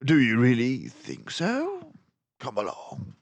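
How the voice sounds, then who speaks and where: A man's voice: medium pitch, huge pitch swings, slow, creaky, no room sound, voice pushed up, heavily acted. A man voicing a clever, confident mouse detective from a storybook, with an emphatic, precise, lecturing manner. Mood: brisk, wry. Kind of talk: storybook character voice.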